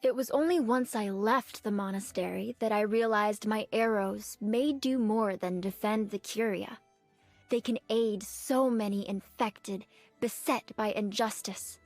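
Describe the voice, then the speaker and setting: A woman voicing an earnest, dutiful young nun archer in a video game, speaking politely and formally in measured phrases. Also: medium pitch, no room sound. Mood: proud, reflective. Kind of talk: scripted game character voice lines. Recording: clean dry studio, music behind.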